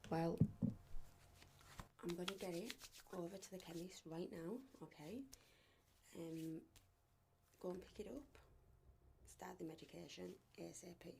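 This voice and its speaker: A woman speaking softly and reassuringly in an ASMR medical roleplay as a clinician, often dropping to a whisper. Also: lowish voice, fairly even intonation, unhurried, creaky, pauses often, slight background noise, low-quality recording. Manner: gentle, reassuring, often checking with "okay?".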